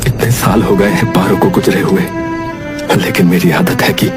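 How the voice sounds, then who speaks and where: A man's voice: sits low, fairly even intonation, moderate pace, lightly acted. A man voicing a poor, devoted and determined village husband in a cartoon story, with an earnest, melodramatic delivery over music. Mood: weary, resigned. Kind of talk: acted dialogue in a Hindi cartoon moral story. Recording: music behind the voice.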